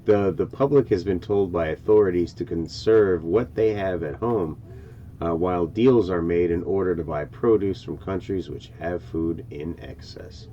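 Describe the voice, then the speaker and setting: Low-voiced male, casual reaction host who stumbles as he goes, some background noise. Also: normal speed, dark tone, highs cut off. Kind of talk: casual reaction commentary, reading on-screen news text aloud.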